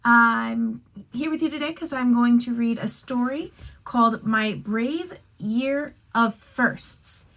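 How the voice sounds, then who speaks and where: A woman teacher reading a picture book aloud to young children, expressive and instructive, with casual asides. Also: on the high side, takes it slowly, poor audio.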